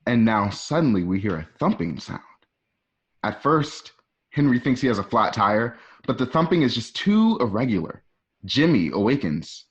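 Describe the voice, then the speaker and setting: A man reads screenplay directions as narrator of a radio play. His voice is medium in pitch. The sound is a bit dull and lo-fi. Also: very animated, moderate pace. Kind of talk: reading screenplay stage directions aloud. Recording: dull lo-fi home recording.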